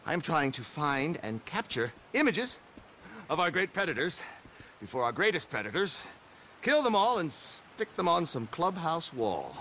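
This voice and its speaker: A genteel, nervous male photographer from a western game. His voice is bright and swings widely in pitch. He sounds fussy and self-deprecating, with faint outdoor sound around him. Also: big pitch swings, measured pace, a little hiss or hum, heavily acted. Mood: chatty, wry. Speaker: wildlife photographer character. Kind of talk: dramatic game cutscene acting.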